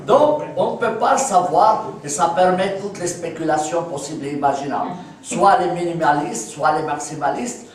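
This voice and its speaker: A man in his sixties from Palestine gives a talk to a live audience, walking them through examples and checking that they follow. His voice sits high for a man. He sounds distant from the microphone, and the room adds heavy echo. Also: moderate pace. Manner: explanatory, asks listeners for agreement. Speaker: speaker at a public talk. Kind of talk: lecture with audience questions. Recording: echoey room, speaker far from the mic.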